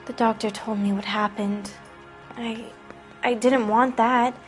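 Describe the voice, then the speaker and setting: A woman's voice: fairly high pitch, steady tone, unhurried, faint music behind, close to an everyday voice. A woman voicing a naive, frightened teenage girl in a video game, hesitant and plaintive. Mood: defensive, hurt.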